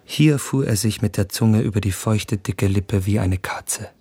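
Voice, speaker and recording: An actor playing a hesitant, vain young gentleman traveler, speaking his reflective thoughts in a radio play. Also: on the low side, measured pace, husky, no room sound. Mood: observing, wary.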